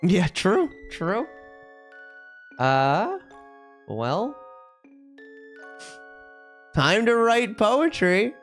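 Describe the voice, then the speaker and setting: A video game streamer chatting casually with viewers on a live stream, rambling and thinking out loud. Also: mid-range voice, big pitch swings, very slow, long pauses, faint music behind.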